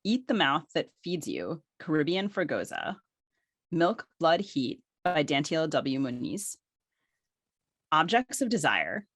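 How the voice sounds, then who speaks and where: A woman's voice: low voice, fairly bright tone, no echo, studio quality. A woman co-hosting a literary podcast, reading guest introductions and nominee lists with careful articulation. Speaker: podcast co-host.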